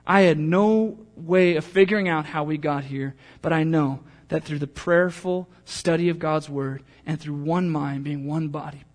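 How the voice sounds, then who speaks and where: High-voiced earnest male pastor. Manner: earnest, building to emphatic crescendos then dropping quiet. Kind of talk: sermon.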